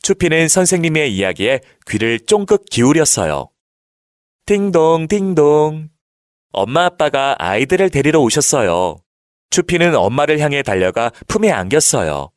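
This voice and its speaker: Animated man narrating a children's storybook. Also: a little high, normal speed, no room sound, studio quality. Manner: expressive storytelling for young children.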